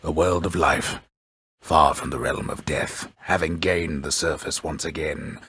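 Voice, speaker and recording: An actor playing a solemn, wry storyteller of underworld myths in a video game, with grandiose, measured delivery and a gravelly, husky voice. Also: male voice, a bass voice, fairly even intonation, pushed and bright, dry recording, full character voice. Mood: wry, dramatic. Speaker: storyteller narrator. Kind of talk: scripted dramatic video game narration.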